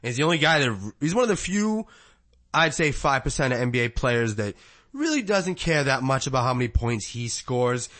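A man's voice: mid-range voice, sing-song, normal speed, a bit bright. A man, a podcast co-host in loose, rambling sports chat who laughs often.

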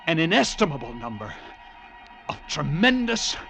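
An actor playing a haunted lighthouse keeper who narrates his tale of terror in intense classic radio drama style, with halting, suspenseful pauses. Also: fairly high pitch, sing-song, creaky, exaggerated voice. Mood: grim dread. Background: loud dramatic music.

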